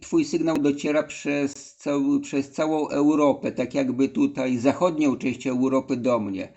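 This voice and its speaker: Man, middle pitch, casual amateur radio operator, muffled lo-fi audio. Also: fairly even intonation, measured pace, creaky, darkish tone. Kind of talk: casual ham radio contact.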